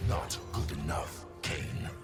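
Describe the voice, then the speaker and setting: A man voicing a cold, contemptuous cosmic warlord villain in a video game, taunting deliberately as one who speaks for many. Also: music in the background, lightly acted. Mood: cold contempt. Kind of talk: video game character voice lines.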